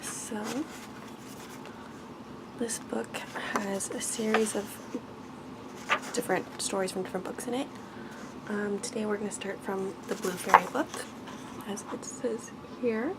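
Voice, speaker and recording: A soft-spoken woman reads as if for bedtime, in an intimate ASMR style. Her breathy, gentle voice carries a noticeable vocal fry and often drops toward a whisper. She takes her time, a little halting as she reads aloud, over clearly audible background noise. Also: medium pitch, steady tone. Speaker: ASMR host reading a bedtime story.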